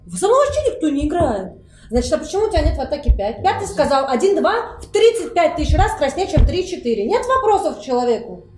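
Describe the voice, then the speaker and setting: A woman's voice: sits high, big pitch swings, fast, a little mellow, a little hiss or hum. A woman playing a mafia game, talking in an exasperated, colloquial way. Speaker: game player. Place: meeting room around a large table. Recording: echoey room.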